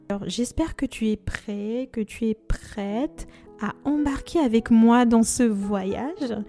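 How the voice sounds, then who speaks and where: A woman's voice: on the high side, mellow. A woman in her twenties, originally from Congo, hosting a faith podcast in an earnest, devout and chatty manner with frequent fillers. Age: 20s. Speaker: podcast host.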